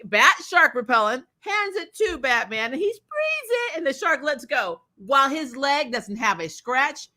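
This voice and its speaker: A woman co-hosting a casual comic book chat, telling things in an animated, sarcastic way with mild swearing. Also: high voice, measured pace, few pauses. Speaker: co-host of a comic book discussion stream. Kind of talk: casual fan chat, reading and answering viewer comments.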